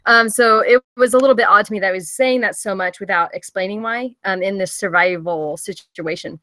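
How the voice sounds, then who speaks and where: A woman's voice: mid-range voice, a little fast, sharp tone, dry recording. A woman giving casual, tentative feedback as a panelist, talking informally and thinking her points through as she goes. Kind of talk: casual spoken critique at a table read.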